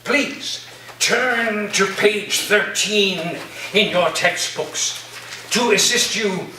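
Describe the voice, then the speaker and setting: A man in a staged play reading, playing an eccentric, grandiose literature professor with deliberate, dramatic pauses. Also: high voice, bright, forceful tone, heavily acted. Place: room, live staged reading, recorded from afar. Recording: distant roomy stage recording, noisy.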